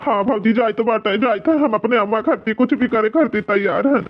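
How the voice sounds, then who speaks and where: An anxious village son-in-law played by a man, high-pitched for a male, with an Awadhi accent. He begs humbly and repeats himself in melodramatic cartoon style, on a dull lo-fi soundtrack. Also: a little fast, lightly acted. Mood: pleading, apologetic. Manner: pleading, repetitive, melodramatic. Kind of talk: Awadhi family comedy cartoon dialogue.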